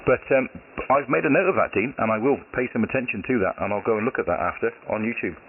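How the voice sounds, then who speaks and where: A British man with a very deep voice, chatting casually over amateur radio, relaxed with many pauses and ums. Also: breathy edge. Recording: tinny shortwave radio signal with hiss.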